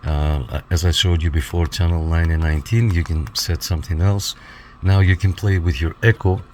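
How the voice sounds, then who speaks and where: A man giving a casual, hands-on product walkthrough, explaining step by step and often checking in with a quick okay. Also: low-pitched, steady tone, a little mellow, slight background noise. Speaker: radio reviewer, demonstrator.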